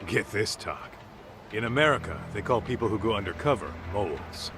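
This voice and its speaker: An actor playing a brash, wisecracking ex-gangster in an English game dub, with a casual way of talking. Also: male voice, lowish voice, expressive, moderate pace, background noise, close to an everyday voice.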